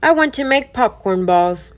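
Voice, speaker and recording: A woman voicing a busy, fretful lady of the house in a children's storybook, expressive and emphatic as she gives instructions. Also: on the high side, normal speed, pitched above the performer's own voice, natural voice. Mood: busy instructing.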